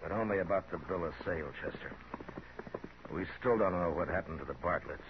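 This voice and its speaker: Brooding American frontier marshal, very deep male voice, 1950s radio western, dull vintage sound. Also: a little fast, background noise. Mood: suspicious, restrained. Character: weary, brooding frontier marshal, grown man. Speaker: lead actor in a western radio serial. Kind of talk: 1950s radio drama acting. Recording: muffled old 1950s radio, crowd behind.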